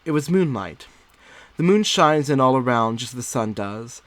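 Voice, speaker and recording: A man's voice: mid-range voice, expressive, normal speed, dry recording, lightly acted. A man voicing an anxious, secretive young wife in dramatic, theatrical first-person literary narration. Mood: uneasy, hushed.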